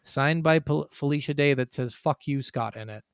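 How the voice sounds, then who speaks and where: A man's voice: on the high side, moderate pace, smooth, dry recording, clean studio sound. A man co-hosting a podcast in casual chat, working through his notes with rambling, sometimes profane asides. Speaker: podcast co-host.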